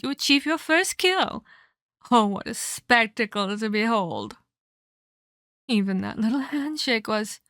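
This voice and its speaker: A woman's voice: a little high, fairly bright tone, no room sound, studio quality. A woman playing a sadistic, scheming, centuries-old female vampire in an ASMR roleplay, haughty and taunting, with formal, scolding phrasing. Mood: mocking.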